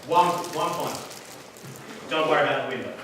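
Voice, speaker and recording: An actor playing a scheming, bumbling pantomime robber with halting, deliberate stage delivery in a school hall. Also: mid-range voice, natural voice. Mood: plotting. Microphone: distant camera microphone. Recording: echoey school hall, distant microphone, noisy.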